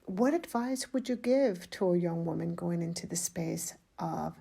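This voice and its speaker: A woman hosting a podcast interview, asking questions in a measured, deliberate way. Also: on the low side, expressive, creaky, dark tone, husky. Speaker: podcast host.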